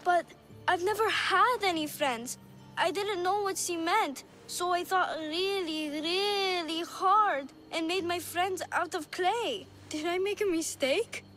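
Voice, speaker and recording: A woman playing a naive, lonely little orphan girl in a video game, with an earnest, halting, childlike way of speaking. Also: very high voice, a bit bright, faint music behind, full character voice. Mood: sad, earnest. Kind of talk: video game cutscene dialogue.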